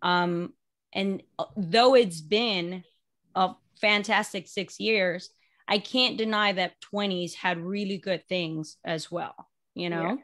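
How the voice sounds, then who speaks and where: A woman's voice: fairly low pitch, slow, fairly bright tone. Upbeat woman hosting a group chat. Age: 30s. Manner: upbeat, cheers guests on. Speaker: podcast host.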